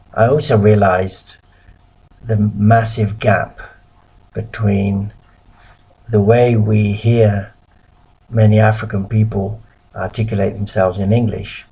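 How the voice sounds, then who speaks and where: A middle-aged man from the UK giving a recorded lecture as a missionary, measured and pausing often. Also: fairly low pitch, creaky, mellow, slightly rough, rough recording. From United Kingdom.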